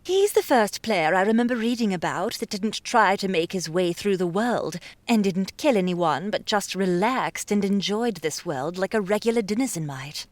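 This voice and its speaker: Bold, swooping actress's imperious princess. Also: female voice, mid-range voice, lively intonation, normal speed, few pauses, no echo, natural voice. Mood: flowery, admiring. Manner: brash, theatrical, bossy. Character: bold, imperious princess gamer, flowery and impatient. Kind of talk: dramatized audiobook acting.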